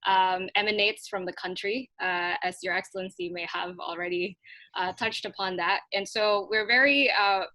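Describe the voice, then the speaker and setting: A woman's voice: mid-range voice, steady tone, moderate pace, pushed and bright, dry recording. A woman speaking off the cuff as a startup founder on a panel, often rephrasing herself informally. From Indonesia. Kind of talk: spontaneous panel answer.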